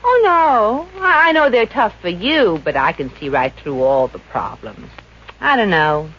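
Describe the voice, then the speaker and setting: A woman's voice: medium pitch, very animated, measured pace, fairly bright tone, breathy edge, full character voice. Brassy, wisecracking 1950s radio showgirl. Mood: sassy. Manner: breezy, slangy, sassy. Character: brassy, wisecracking showgirl, confident and street-smart. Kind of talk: 1950s radio comedy acting. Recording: dull, noisy old-time radio recording.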